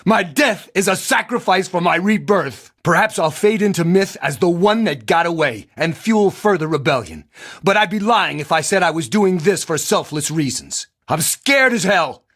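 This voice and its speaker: Husky, earnest runaway android. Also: male voice, sits high, lively intonation, normal speed, a bit bright, exaggerated voice. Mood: defiant, afraid. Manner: solemn, defiant. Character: earnest runaway android man seeking freedom. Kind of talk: video game audio log acting.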